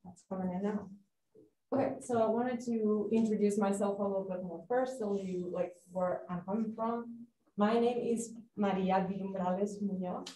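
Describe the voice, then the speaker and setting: A woman's voice: mid-range voice, fairly even intonation, normal speed, dark tone. A woman in her thirties from Spain, whose first language is Spanish, giving a friendly public science lecture and asking the audience questions. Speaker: guest lecturer, postdoctoral researcher. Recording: roomy hall, distant mic, light noise.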